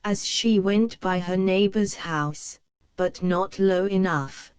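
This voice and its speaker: A woman narrating a children's storybook in a slow, clear read-aloud manner. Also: medium pitch, background noise.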